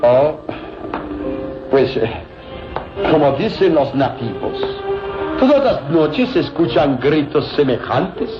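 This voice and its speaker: An actor playing a sly, courteous German villain in a radio serial, with a German accent and formal, theatrical delivery. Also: male voice, fairly high pitch, big pitch swings, dull audio. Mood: suave, mysterious. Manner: theatrical, formal, insistent, with dramatic pauses. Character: sly German villain, smoothly deceitful, courteous adult man. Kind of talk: vintage radio serial acting. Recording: band-limited radio recording, loud music behind.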